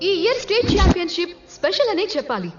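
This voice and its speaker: Female championship announcer, excited, lively swooping pitch, loud music behind, film drama. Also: quick pace. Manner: animated announcing. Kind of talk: film sports commentary. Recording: dull film audio under loud music.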